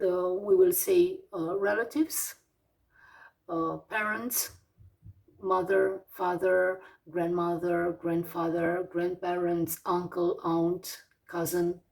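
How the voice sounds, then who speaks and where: A Romanian woman in her forties with a Romanian accent, teaching English vocabulary slowly, with many fillers and pauses as she lists words one by one. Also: fairly low pitch, gravelly, darkish tone, recorded from a distance, low-quality recording. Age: 40s. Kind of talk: slow vocabulary lesson.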